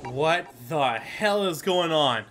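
Excitable man doing game commentary, lively pitch. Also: slow. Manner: foul-mouthed, excitable. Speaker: gaming video host. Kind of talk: casual let's play commentary. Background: game music.

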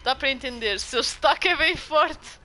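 A woman's voice: high voice, normal speed, bright, forceful tone, a little hiss or hum. A young woman from Portugal with a strong European Portuguese accent, streaming a game and chatting with viewers. She gets jumpy and swears when startled. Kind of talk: casual gameplay commentary.

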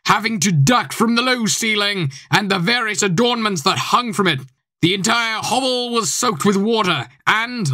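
A man's voice: high-pitched, bright, forceful tone, no room sound. A teenage boy narrating a scripted audio play in a theatrical storytelling manner.

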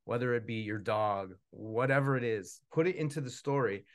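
A man's voice: mid-range voice, moderate pace. A man in his forties chatting casually at a live book launch as a puppeteer and author, rambling and self-deprecating. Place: small indoor room with puppet stage.

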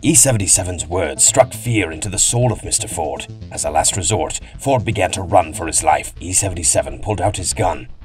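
A man's voice: low-pitched, fairly quick, hardly any pauses. A man giving dramatic scripted storytelling narration, as the narrator of an audio drama. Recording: loud music behind.

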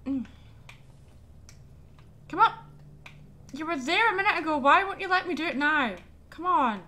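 A woman's voice: high-pitched, lively intonation, normal speed, bright, forceful tone. A woman hosting a let's play, chatting casually and exclaiming at what happens on screen. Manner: chatty, easily startled, exclaims at the game. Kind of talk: casual gameplay chat.

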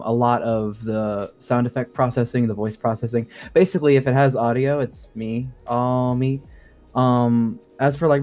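A man's voice: medium pitch, a little mellow. A young adult man talking casually as a podcast guest, informal, a little hesitant and wry. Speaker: guest, game sound designer. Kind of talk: casual interview chat.

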